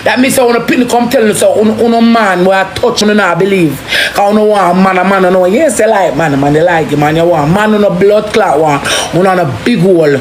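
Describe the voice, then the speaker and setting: Jamaican woman, impassioned rant, forceful and repetitive, heavy cursing, mid-pitched voice, roomy echo. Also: fast talker, few pauses. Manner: forceful, heavy cursing, repeats phrases for emphasis. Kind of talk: impassioned rant in casual street talk. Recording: roomy echo, clearly noisy background.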